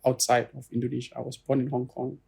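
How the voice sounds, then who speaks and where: A man with a Singaporean English accent and a gravelly, husky voice, talking in a relaxed interview. He speaks earnestly and often restarts his phrases. His pitch sits in the middle range. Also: fast. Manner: earnest, repeats "you know" and restarts phrases. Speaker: guest lawyer. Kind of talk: conversational interview answers.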